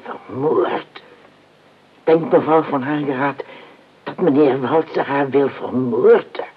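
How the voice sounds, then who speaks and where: A tinny radio play recording presents a guarded housekeeper. Her voice is husky and unhurried, and she picks her words haltingly and warily. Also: a bass voice, full character voice. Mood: indignant. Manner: halting, wary. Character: guarded, hesitant, loyal housekeeper.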